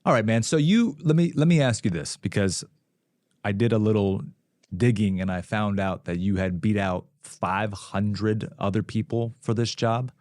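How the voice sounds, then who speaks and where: A man's voice: fairly low pitch, moderate pace, darkish tone, no echo, studio quality. A man hosting a podcast, asking casual, roundabout questions and offering frequent affirmations. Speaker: podcast host.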